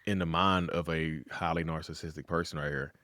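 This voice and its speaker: A man hosting a podcast in a casual conversational interview, animated, often acting out quoted voices. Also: a bass voice, a little fast, no room sound, studio quality. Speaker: podcast host.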